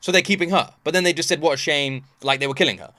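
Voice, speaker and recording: A man giving casual, unscripted reaction commentary as the host, thinking aloud in an animated, puzzled way. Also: a little high, racing, no echo, clean studio sound.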